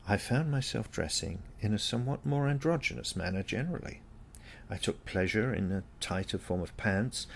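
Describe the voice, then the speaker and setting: A man reading a scripted first-person story aloud in a measured, reflective manner with deliberate phrasing. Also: fairly low pitch, creaky, slight background noise. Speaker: story reader.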